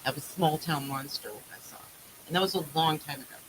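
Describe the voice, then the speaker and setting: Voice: medium pitch, brisk, fairly bright tone. Massachusetts-accented speaker on a noisy call. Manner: chatty, laughs often, spells words out. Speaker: panelist. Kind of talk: casual panel chat.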